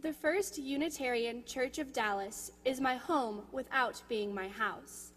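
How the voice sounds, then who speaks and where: A woman's voice: high voice, unhurried, fairly bright tone. A teenage young woman reading a prepared personal testimonial aloud in a church service. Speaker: youth member giving a testimonial. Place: large indoor church sanctuary. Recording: reverberant church hall.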